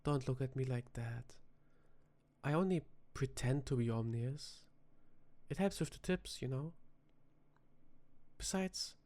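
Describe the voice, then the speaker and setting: A performer voicing a flirty, teasing vampire tavern bartender in a soft-spoken ASMR roleplay, with dramatic pauses. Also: medium pitch, close to an everyday voice. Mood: playful, coaxing.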